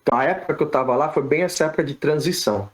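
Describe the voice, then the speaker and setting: A man from Brazil, a physiotherapist guest on a podcast, answering questions in a relaxed, conversational way with frequent tag questions and restarts. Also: on the high side, racing. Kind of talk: casual podcast interview answers.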